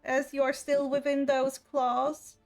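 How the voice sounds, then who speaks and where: Woman, game master, high voice, slow pace, tabletop roleplaying session, faint music behind. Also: completely flat delivery, darkish tone.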